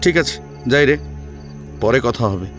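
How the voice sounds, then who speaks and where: A man voicing a caring, earnest young student in an audio drama, gentle and conversational. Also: medium pitch, animated, measured pace, faint music behind, pitched above the performer's own voice. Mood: guarded.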